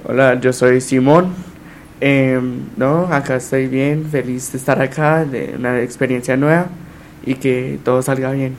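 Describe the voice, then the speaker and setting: A teenage boy born in Colombia, speaking in a relaxed, brief way as a student radio guest. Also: medium pitch, measured pace, a little hiss or hum. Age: teens.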